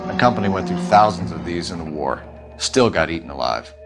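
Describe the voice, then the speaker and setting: An actor playing a terse, probing police detective with a sardonic edge in a crime drama video game. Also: male voice, low voice, expressive, fairly quick, gravelly, over music. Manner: terse questioning.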